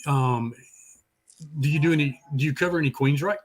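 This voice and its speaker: A man's voice: fairly high pitch, a little fast. Rambling, salty male music show host. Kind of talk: casual chat.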